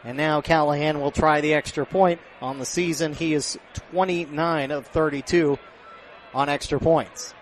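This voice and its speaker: A man's voice: fairly high pitch, steady tone, moderate pace, low-quality recording. A man doing live play-by-play commentary of a football game as a radio announcer, close on the mic at an outdoor stadium. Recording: clear outdoor stadium background noise.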